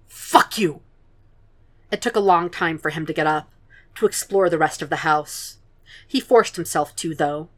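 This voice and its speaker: A woman narrating a fan fiction podfic in a quiet, measured reading voice. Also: low-pitched.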